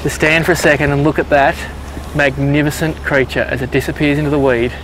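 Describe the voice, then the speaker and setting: A man, hosting a fishing show outdoors by a lake and getting loudly excited. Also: fairly high pitch, a little fast, background noise. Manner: excitable, enthusiastic, laughs loudly. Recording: music bed under outdoor voice.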